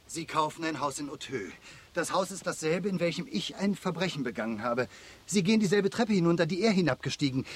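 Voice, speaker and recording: An actor playing a fearful, guilt-ridden manservant in a German radio drama, husky and agitated as he confesses a vendetta. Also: fairly high pitch. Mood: agitated, haunted.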